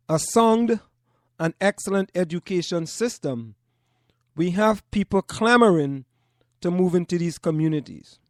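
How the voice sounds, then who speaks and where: A man's voice: fairly high pitch, a little mellow, dry recording, studio quality. Man hosting a radio talk show. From Trinidad and Tobago. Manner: measured, speaks in short broken phrases with pauses. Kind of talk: call-in radio talk monologue.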